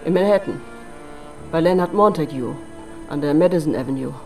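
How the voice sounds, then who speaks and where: A woman's voice: sits low, lively intonation, a little fast, dark tone, distant mic, faint music behind. Guarded, terse old woman. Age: older. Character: guarded elderly woman in retirement apartments, reluctant. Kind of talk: radio drama acting.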